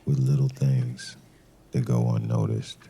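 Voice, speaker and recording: An actor playing a brooding, suspicious detective who narrates a noir crime drama in a grave, deliberate manner. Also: male voice, rumbling low, level tone, gravelly, dark tone, slight background noise, heavily acted. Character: brooding male detective, terse, suspicious and methodical. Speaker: narrator and lead character of an audio crime drama.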